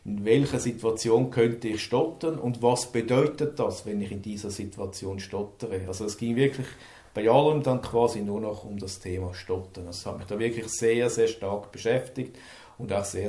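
A man's voice: on the low side, warm tone, reverberant. A man, an interview guest speaking earnestly about his life, stuttering and repeating words. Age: 50s. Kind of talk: personal interview.

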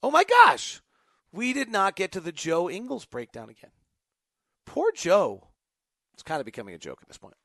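A man's voice: high-pitched, wildly swooping pitch, moderate pace, pauses often, bright, forceful tone, no room sound, clean studio sound. A man hosting an unscripted sports talk show, thinking aloud in choppy, emphatic phrases. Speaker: sports podcast host. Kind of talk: unscripted basketball talk, answering fan questions.

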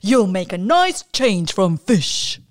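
A woman voicing a big, gruff, hungry male troll who roars and bellows in a children's story. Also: big pitch swings, slow pace. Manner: booming, roaring and bellowing.

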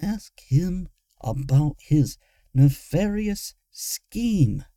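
Guy doing a sneaky wizard trickster for a fantasy audiobook, high mumbly hissy, like he's plotting under his breath. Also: big pitch swings, slow, vocal fry, dark tone, no room sound, exaggerated voice. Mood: urgent, conspiratorial. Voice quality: mumbled, hissed whisper. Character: sly, conspiratorial male wizard trickster companion.

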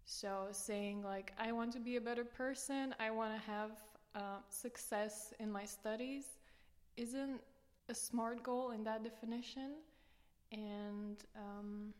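A woman's voice: medium pitch, fairly even intonation, a little slow. Woman in a reverberant interview. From Romania. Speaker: podcast guest, student coach. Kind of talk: conversational explanation in an interview. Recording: reverberant hall-like room sound.

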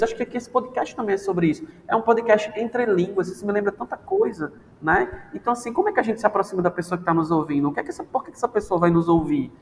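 A man, a language teacher from Brazil, chatting casually and animatedly on a podcast, playfully acting out quoted voices. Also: fairly high pitch. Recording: long reverb, faint background noise.

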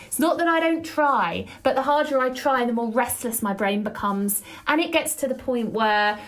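A chatty woman podcast host with a loud, fairly high voice. She talks on her own in a quick, energetic flow, scattering ums as she goes. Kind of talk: solo podcast monologue.

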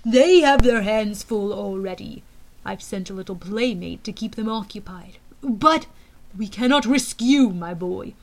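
A woman voicing a brutal, imperious male general with a strong, growling, forceful delivery. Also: mid-range voice, a little slow, lightly acted, poor audio. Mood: commanding. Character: booming, brutal, commanding giant male general.

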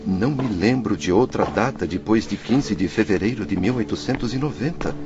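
An actor with a Brazilian accent playing an anguished, proud wine-merchant father, theatrical and halting, in a radio drama. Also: lowish voice, expressive, natural voice. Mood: despairing. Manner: theatrical, anguished, halting between whispers and outcries. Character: distraught proud wine-merchant father, spirit returned home. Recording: muffled radio drama, music underneath.

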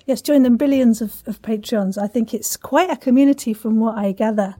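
Husky, mellow woman, effusive host. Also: a little high, fairly quick. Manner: effusive, playful, quirky wording. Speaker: podcast host, interviewer. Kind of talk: casual interview chat.

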